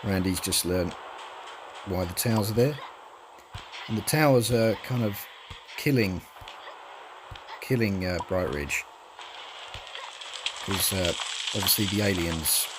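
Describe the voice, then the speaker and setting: A man's voice: low-pitched, slow pace, long pauses, background noise. A man casually commentating his speedrun, a little nasal from a cold, rambling with many ums and uhs. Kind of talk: casual live commentary over gameplay. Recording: game music playing behind the voice.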